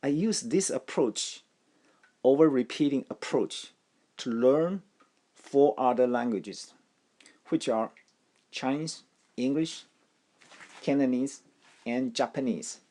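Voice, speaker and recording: A man whose first language is Taiwanese gives a language lesson, speaking deliberately and often repeating his phrases. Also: mid-range voice, dark tone. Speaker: language teacher. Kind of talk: slow instructional talk.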